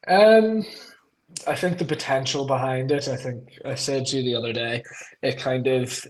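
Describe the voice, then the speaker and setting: A man's voice: medium pitch, normal speed, low-quality recording. A young man with a Northern Irish accent, a podcast guest talking in an easygoing, rambling way with frequent ums. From Northern Ireland. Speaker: guest, club marketing staff. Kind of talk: casual podcast interview.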